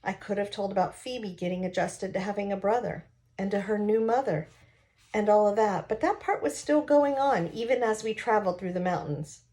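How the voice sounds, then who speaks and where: A woman reading a novel aloud expressively, pausing now and then to explain it to listeners. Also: medium pitch, mellow.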